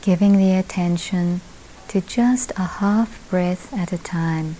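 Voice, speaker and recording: A woman meditation teacher leading a guided meditation at a retreat, speaking calmly in short phrases with long pauses. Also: lowish voice, low-quality recording. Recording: steady background hiss.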